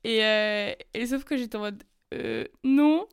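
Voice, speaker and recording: A young woman telling a personal story in casual, chatty French, full of fillers and quick asides. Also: a little high, clean tone, no echo, studio quality. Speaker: podcast host. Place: lives in Germany.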